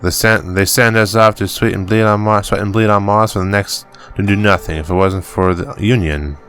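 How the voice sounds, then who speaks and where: A man's voice: on the low side, fairly even intonation, fairly bright tone. A man reading the lines of a weary, bitter farmer father who grumbles and curses, with faint music behind. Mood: grumbling. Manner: grumbling, cursing, drawn-out reading. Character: gruff weary middle-aged farmer father, bitter miner.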